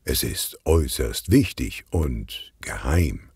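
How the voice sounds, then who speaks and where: A man's voice: rumbling low, expressive, takes it slowly, darkish tone, breathy edge, dry recording, clean studio sound. A man narrating a children's detective story as a scripted audiobook reader.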